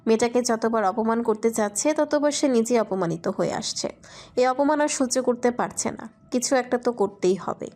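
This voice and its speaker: A woman's voice: a little high, moderate pace, darkish tone. A woman reading scripted romantic fiction as a narrator.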